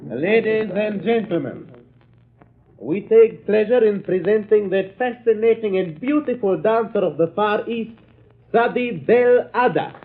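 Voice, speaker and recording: High-voiced, measured nightclub emcee. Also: male voice. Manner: measured, showy, declamatory. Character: formal nightclub master of ceremonies, grandly presenting. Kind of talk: 1940s radio acting. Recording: tinny, faintly noisy old radio recording.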